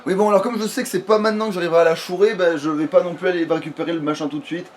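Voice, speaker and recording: A man's voice: high voice, fairly even intonation, very fast, a little hiss or hum. A man giving casual, rambling let's play commentary, often swearing coarsely. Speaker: video game streamer.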